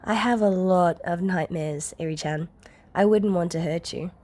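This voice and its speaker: A woman's voice: on the low side, normal speed, mellow, natural voice. A woman voicing a caring older girl from a story, casual and reassuring, cheerful with an awkward streak. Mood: subdued, honest.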